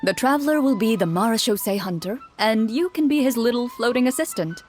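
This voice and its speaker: A woman's voice: on the high side, brisk, darkish tone, soft background music, lightly acted. An actress playing a poised, courteous young woman guiding a tabletop game in a video game, polite and orderly. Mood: composed, helpful.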